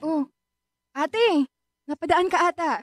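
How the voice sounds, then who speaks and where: A woman's voice: very high voice, takes it slowly, no room sound, studio quality. A woman acting a proud, supportive aunt in a radio drama, effusive and encouraging, with a Filipino accent. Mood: proud, affectionate.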